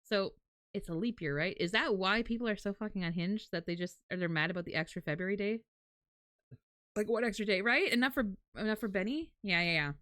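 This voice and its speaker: A woman's voice: medium pitch, brisk, dry recording, clean studio sound. A woman chatting casually as a livestreamer, candid and freely swearing, telling things in a dramatic, self-deprecating way. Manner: animated, dramatic storytelling.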